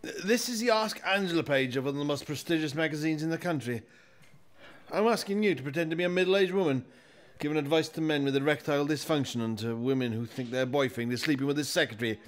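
A man's voice: on the high side, quick pace, lightly acted. A man playing a portly magazine boss in an audio play, officious, blunt and fussy. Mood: exasperated.

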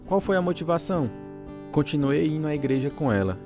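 A man's voice: a little high, lively intonation, brisk. Earnest young man, voiced by an actor, over guitar music. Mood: reflective. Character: earnest young man telling his conversion story. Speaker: narrator and lead actor. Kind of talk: audio drama narration and acting.

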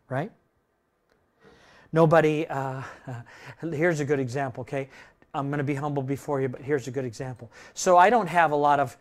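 A man in his sixties preaching a sermon in a church room, conversational, tossing in "right?" and swinging between loud and soft. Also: a little high. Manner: conversational preaching, tag questions, dynamic swings in loudness. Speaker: pastor. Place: church room with projector screen.

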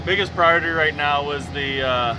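Young man, farmer vlogger, scratchy voice, laid-back rambling, tractor cab, phone mic, clear engine noise. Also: a little high, moderate pace. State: scratchy voice from dust. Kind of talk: casual vlog commentary. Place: tractor cab and open field. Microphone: cell phone, then external mic.

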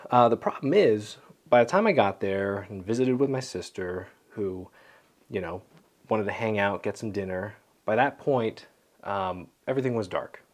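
A man's voice: fairly low pitch, darkish tone. A man talks casually to camera as a vlogger, rambling and a little self-deprecating. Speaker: vlogger, landscape photographer. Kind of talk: casual vlog talk to camera. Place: small home studio room.

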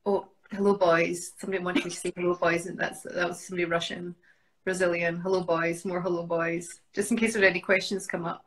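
A woman's voice: on the low side, flat delivery, quick pace, a bit bright, low-quality recording. A woman in her forties from Scotland with a Scottish accent, chatting as a guest on a video call from home and reading out viewers' greetings. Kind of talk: casual video call chat. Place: home room indoors. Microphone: video call webcam.

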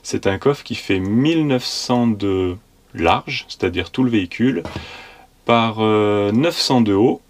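This is a man in his thirties with a fairly low voice, sitting in his converted van and talking to a camera in front of him. He explains things in a relaxed, halting way, full of fillers, and laughs at himself now and then. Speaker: vanlife vlogger. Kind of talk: casual explanatory chat.

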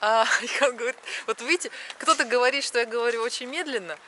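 A woman's voice: a little high, bright, forceful tone. A woman in her thirties talking to a handheld camera while walking, drawing out her words and stressing final syllables. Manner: chatty, drawn-out vowels, stress on last syllables. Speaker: vlogger. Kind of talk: walking vlog narration. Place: city street at night, outdoors. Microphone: action camera at arm's length. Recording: street noise outdoors.

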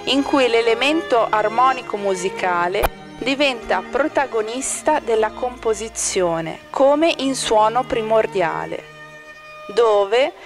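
A woman reading a scripted art-exhibition commentary in a measured, formal manner. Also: mid-range voice. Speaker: exhibition narrator. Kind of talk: scripted art-critic narration.

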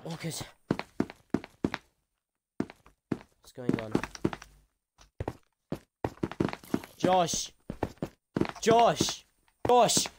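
An anxious, insistent friend in a zombie game roleplay, acted by an amateur, with a lively, swinging pitch and an accusing manner. The home recording is very noisy. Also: extremely slow. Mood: panicked.

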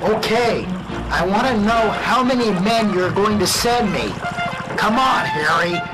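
A man's voice: high-pitched, a little slow, rough recording. A man playing an exasperated small-town police chief in a spoof radio drama, urgent and exclaiming, with music underneath. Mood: insistent. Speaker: amateur radio drama actor.

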